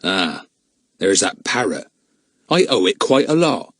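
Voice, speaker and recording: Villain read by a male narrator: grim, cold, deliberate and threatening, children's adventure audiobook, dry sound. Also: deep voice, very animated, fairly bright tone, no echo, heavily acted. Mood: cold menace. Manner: slow, deliberate, threatening delivery.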